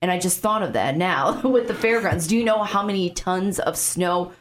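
A woman's voice: on the low side, slight background noise. Chicago-accented woman hosting a radio interview. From Chicago suburbs. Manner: conversational, quick questions with light pushback. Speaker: radio host. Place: radio studio.

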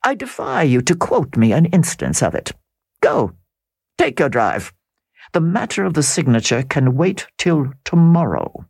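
A reader voicing a suave, scheming middle-aged count who speaks slowly, smoothly and condescendingly. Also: medium pitch, sing-song, a little mellow, no echo, clean studio sound, heavily acted. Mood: contemptuous.

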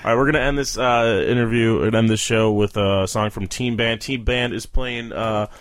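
A man's voice: lowish voice, steady tone, normal speed, smooth. A man hosting a podcast in a casual comedic chat, swearing freely and teasing his guest. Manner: foul-mouthed, teasing. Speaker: podcast host.

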